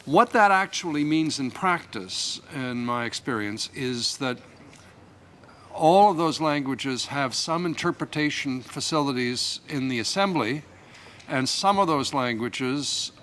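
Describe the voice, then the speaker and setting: A man answering a question at a seminar panel, measured and halting with frequent um fillers. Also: mid-range voice, animated, bright, forceful tone, slight background noise. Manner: measured, with many pauses and um fillers.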